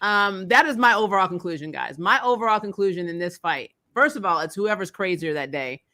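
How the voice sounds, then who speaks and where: A woman's voice: medium pitch, fairly quick, sharp tone. A woman hosting a casual chat with viewers, speaking informally and with emphatic opinions. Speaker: host.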